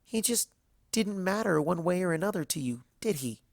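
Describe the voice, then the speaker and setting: A narrator voicing an indignant, high-pitched young unicorn mare. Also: lively intonation, normal speed, vocal fry, dry recording, studio quality. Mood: accusing, hurt. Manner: sharp, cutting, rhetorical questioning. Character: indignant young female unicorn student, sardonic and accusing.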